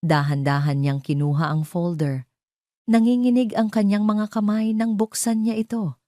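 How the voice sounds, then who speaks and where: A woman's voice: medium pitch, normal speed, warm tone, dry recording, clean studio sound. A woman narrating a scripted drama story in a dramatic style.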